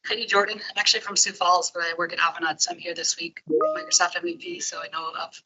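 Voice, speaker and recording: A man's voice: high-pitched, steady tone, fast, sharp tone. A man casually introducing himself to a group on a slightly noisy, distant meeting-room recording.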